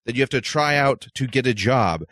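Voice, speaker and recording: A man's voice: medium pitch, animated, brisk, a bit bright, no echo, studio quality. A man co-hosting a talk show, arguing his opinions assertively in a casual debate style. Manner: assertive, argumentative.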